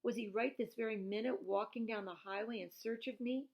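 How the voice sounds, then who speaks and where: A woman's voice: a little high, steady tone, a little fast, darkish tone. A woman reading a children's novel aloud expressively, in the manner of a teacher who explains things plainly. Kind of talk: expressive read-aloud storytelling with informal asides.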